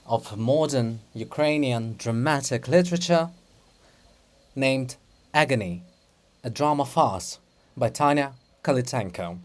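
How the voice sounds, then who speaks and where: A person giving a dramatic reading of a play in a theatrical, deliberate manner, phrase by phrase. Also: mid-range voice. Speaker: reader of a play.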